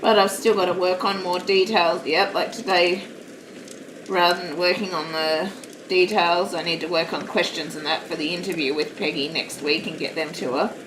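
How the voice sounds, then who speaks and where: Woman, loud voice, lowish pitch, rambling casual chat, distant mic, steady hiss. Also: moderate pace. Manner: rambling, chatty, self-deprecating. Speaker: live-streaming craft hobbyist. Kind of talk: casual chat while crafting. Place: at home. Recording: roomy, distant mic, steady background noise.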